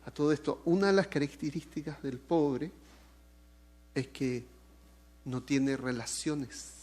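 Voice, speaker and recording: A man from Chile with a Chilean accent, preaching a sermon with lively swings in pitch and loudness and joking asides to the congregation. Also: unhurried. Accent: Chilean Spanish. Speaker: pastor.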